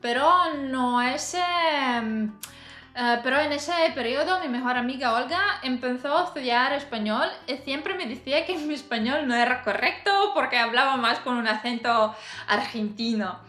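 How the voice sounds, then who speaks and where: Give me a chatty woman vlogger with a bright, sharp voice and lots of small laughs. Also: sits high, normal speed. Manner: chatty, laughs often, hesitant fillers. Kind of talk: casual talk to camera. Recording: roomy echo, faint music behind.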